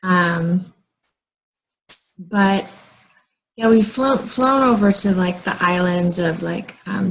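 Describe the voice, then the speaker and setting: An American woman in her late thirties talking casually and haltingly in a personal vlog, often pausing mid-sentence and rambling along. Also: lowish voice, breathy edge, rough recording. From United States, moved often as a military child. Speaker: vlogger.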